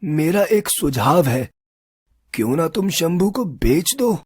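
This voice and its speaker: Old village uncle for an animated story, kindly and measured, husky. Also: male voice, fairly high pitch, dry recording, studio quality, heavily acted. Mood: advising. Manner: gentle, fatherly, measured.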